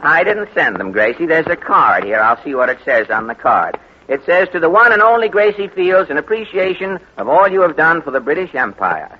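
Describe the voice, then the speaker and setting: A man's voice: on the high side, sing-song, a little fast. A man from Boston hosting a scripted radio comedy show, delivering dry wisecracks. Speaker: host. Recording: hissy muffled 1940s radio broadcast.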